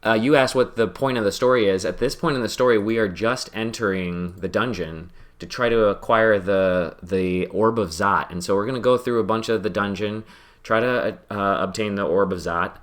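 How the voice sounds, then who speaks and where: Low-voiced man rambling while he games. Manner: rambling, self-deprecating, laughs often. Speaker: podcast host playing a game. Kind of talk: casual chat while gaming.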